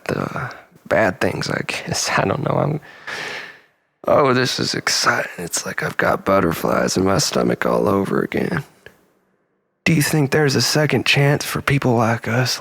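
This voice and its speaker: Male actor as remorseful obsessive lover, gravelly, tense edge, halting dramatic monologue, drifting toward whispers. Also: mid-range voice, fairly bright tone, full character voice. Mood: giddy, hopeful. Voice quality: gravelly, breathy, often near whisper. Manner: halting, rambling, nervous self-corrections and sighs. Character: troubled, remorseful, obsessive man pleading with lost lover.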